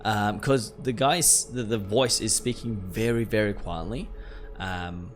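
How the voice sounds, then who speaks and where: A man's voice: fairly low pitch, fairly even intonation, measured pace, slight background noise. A man hosting a let's play, reading game text aloud and reacting in casual slang to his viewers. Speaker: gaming video host. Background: music.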